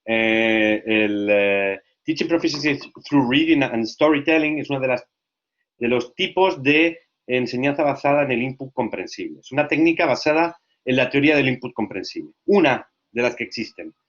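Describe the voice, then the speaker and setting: A man giving a lecture to language teachers, lively and often checking that listeners follow. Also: mid-range voice, moderate pace, highs cut off. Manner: lively, asks frequent check questions.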